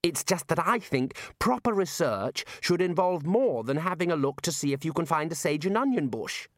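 A man's voice: on the high side, lively intonation, normal speed, no room sound, studio quality, pitched above the performer's own voice, natural voice. A man doing a fussy, earnest young wizard. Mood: exasperated. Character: earnest young male wizard scholar, exasperated.

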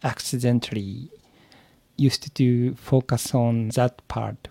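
Male, Japanese accent, native Japanese speaker, gravelly fry, slow halting delivery, interview guest. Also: mid-range voice, fairly even intonation, warm tone, no room sound. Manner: hesitant, searching for words, halting phrases. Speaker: podcast guest, English learner. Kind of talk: interview answers in careful second-language English.

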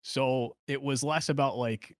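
A man with a deep voice, a streamer guest on a podcast, talking in a relaxed, soothing way with many "like"s. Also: unhurried, no echo, studio quality. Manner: relaxed, soothing, says "like" often. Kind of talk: casual interview chat. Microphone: studio mic with mixer.

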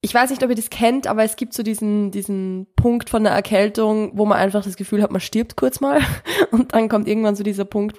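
A woman's voice: mid-range voice, darkish tone. Young woman, podcast host, stuffy nasal cold voice, casual self-deprecating chatter with restarts. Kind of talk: solo podcast talk.

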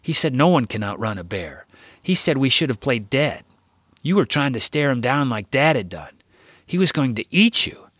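A man's voice: medium pitch, very animated, moderate pace, fairly bright tone, husky, no echo, pitched above the performer's own voice. A man voicing a scared, tearful little boy who asks pleading questions in a rush. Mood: upset.

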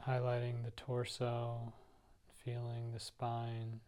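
A man leading a guided meditation, speaking gently and softly in unhurried, spaced-out phrases. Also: mid-range voice, monotone. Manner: soft, gentle, unhurried instructing with pauses. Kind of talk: guided body-scan meditation.

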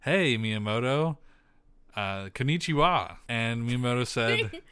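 A man's voice: medium pitch, animated, takes it slowly, a bit bright, clear, resonant tone, no echo. A man co-hosting a casual movie podcast, telling a long rambling story with jokey asides while losing his voice. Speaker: podcast co-host.

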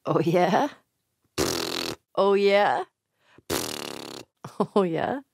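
A woman's voice: on the low side, lively intonation, very slow, darkish tone, dry recording, studio quality, full character voice. An actress playing a tough, foul-mouthed, wisecracking young woman survivor in a video game, blunt and naturalistic. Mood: casual, reflective. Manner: blunt, sweary, wry.

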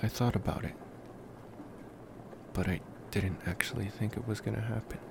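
A man playing a devoted, protective young college vampire in an intimate ASMR roleplay, speaking softly with musing pauses. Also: creaky. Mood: tender, nervous. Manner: soft, unhurried, musing with long pauses. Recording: noisy, steady background rumble.

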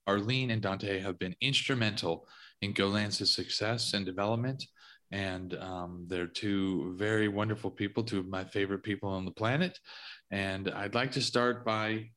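Guy hosting a podcast, kinda low voice, gushes over his guests. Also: a bit bright. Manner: effusive praise, long winding questions. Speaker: podcast host. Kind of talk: interview hosting.

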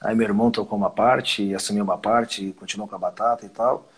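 Man, 50s, husky, relaxed rambling interview guest. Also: lowish voice, fast talker. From southern Brazil. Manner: rambling, restarts phrases, chuckles often. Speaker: winery owner, guest. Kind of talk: casual conversational interview.

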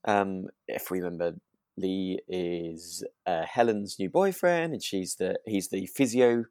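A man co-hosting a relaxed podcast, agreeable and a little rambling and hesitant as he talks. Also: sits low, unhurried, no echo, studio quality. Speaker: podcast co-host. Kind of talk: relaxed casual discussion of a radio drama.

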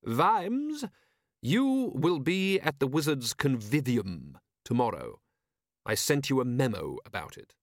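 A man's voice: medium pitch, sing-song, slow pace, no echo, clean studio sound, voice pushed up. A man voicing a shrewd, coolly commanding city ruler in an audiobook, precise, formal and sardonic. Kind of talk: audiobook character voice.

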